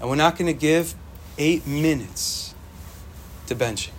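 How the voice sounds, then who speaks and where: A young man whose first language is Yiddish, giving an informal, animated Torah talk to students and repeating phrases for emphasis. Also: on the high side, measured pace. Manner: animated, repetitive, sprinkles Hebrew and Yiddish terms.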